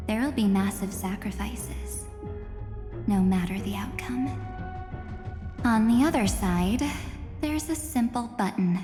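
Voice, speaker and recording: Slow, echoing, taunting villainess played by a woman. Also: mid-range voice, mellow, close to an everyday voice. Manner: slow, deliberate, teasing, with breathy whispered phrase endings. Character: cool, taunting, sinister woman antagonist toying with others. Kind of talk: English game dub voice acting. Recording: echoey hall reverb, music behind.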